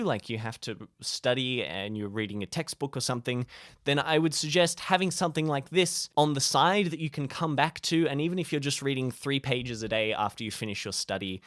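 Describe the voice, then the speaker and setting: A man's voice: mid-range voice, a bit bright. Casual guy vlogger giving advice, jokey and self-deprecating, really dry room with no echo. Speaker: YouTube vlogger giving reading tips. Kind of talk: casual, chatty advice to camera.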